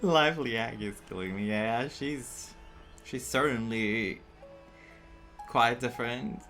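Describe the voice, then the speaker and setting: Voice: medium pitch, slow. A game streamer giving live reaction commentary, thinking aloud and getting emotionally invested in the story. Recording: game music behind the voice. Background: video game music.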